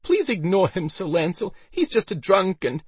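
Female tavern keeper, voiced by a man, storybook role: high, pleading, defensive, insistent. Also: big pitch swings, moderate pace, husky, no echo, heavily acted. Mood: anxious, placating. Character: defensive, pleading woman innkeeper.

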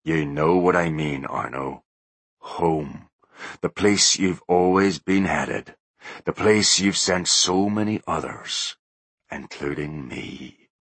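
Man playing an older bodyguard posing as a ghost, deep, slow, hushed, ominous, breathy. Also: no echo, exaggerated voice.

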